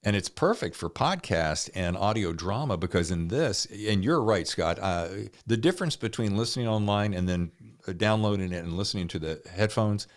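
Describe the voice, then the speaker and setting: Man, low voice, podcast host, casual interview chat, enthusiastic, talks to listeners. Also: expressive, moderate pace, few pauses.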